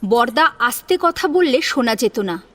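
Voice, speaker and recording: High-pitched female story narrator. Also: big pitch swings, moderate pace, a bit bright.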